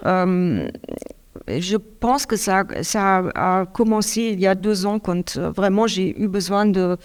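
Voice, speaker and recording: A middle-aged woman from Germany speaking French with a German accent, giving a hesitant, halting caregiver's testimony with many restarts. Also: medium pitch. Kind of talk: public testimony interview.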